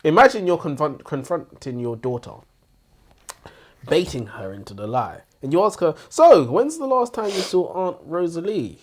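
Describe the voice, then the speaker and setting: Male co-host, animated intonation, casual debater who keeps questioning and pushing the other side. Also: a little high, normal speed. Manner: probing, questioning devil's advocate. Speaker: book review podcast co-host. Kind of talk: casual book discussion and debate.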